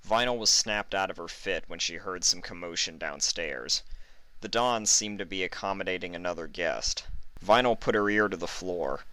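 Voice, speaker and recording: Male narrator for dramatic fiction, low voice with a forceful, bright edge. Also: moderate pace, no echo, rough recording. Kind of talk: dramatic scripted story narration.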